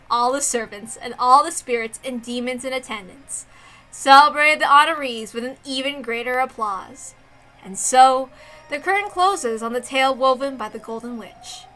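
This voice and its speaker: A woman's voice: high-pitched, moderate pace, bright, forceful tone, soft background music, low-quality recording. A woman reading a visual novel aloud as narrator in a dramatic scripted storytelling style.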